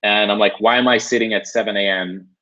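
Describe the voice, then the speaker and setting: A man's voice: on the low side, fairly even intonation, fast talker, bright, forceful tone. Casual Swedish-raised man on a webinar. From Sweden. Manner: casual, conversational, lots of fillers like "you know" and "right". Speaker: webinar host. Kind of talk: live webinar presentation. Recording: dull lo-fi webinar audio.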